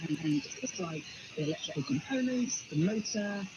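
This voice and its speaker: A man with a slightly high voice gives explanatory news analysis, repeating himself as he works through points. The sound is dull shortwave radio, swamped in heavy noise. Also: lively intonation, moderate pace. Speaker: journalist explaining evidence.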